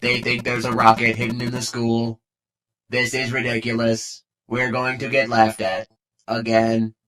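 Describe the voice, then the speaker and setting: A man's voice: medium pitch, monotone, a little slow, a bit bright, lightly acted, rough recording. A man playing a skeptical, bookish student mage with a grudging manner in a game fan dub. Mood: grudging, disdainful.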